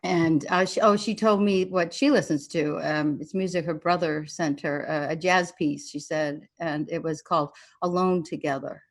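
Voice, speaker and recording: A gentle, convalescent woman in a naturalistic drama. Her voice sits a little low, and she talks hesitantly and thoughtfully, feeling her way through each phrase. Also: steady tone, normal speed, few pauses. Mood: fond, halting. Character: gentle woman recovering from hospital stay, recounting fondly.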